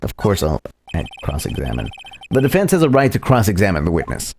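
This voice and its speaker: Man playing a low, dry young defense lawyer. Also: wildly swooping pitch, brisk, a little mellow, reverberant, big-room sound, slight background noise, exaggerated voice. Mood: resolute. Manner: musing, matter-of-fact. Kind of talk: reading game dialogue in character.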